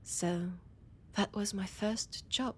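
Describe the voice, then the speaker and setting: An ancient female vampire scholar with a French accent, played by a woman in a roleplay audio drama. She talks slowly and deliberately at a middling pitch, often sinking into a breathy whisper. Her manner is proud, teasing and predatory. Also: steady tone, a little hiss or hum, close to an everyday voice. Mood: fond, amused.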